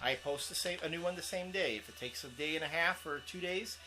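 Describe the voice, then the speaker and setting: A man's voice: fairly high pitch, fairly quick, pushed and bright, soft background music, rough recording. A man, co-hosting a casual comics chat show, rambling with plenty of fillers. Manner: rambling, laughs often, many fillers. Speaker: co-host.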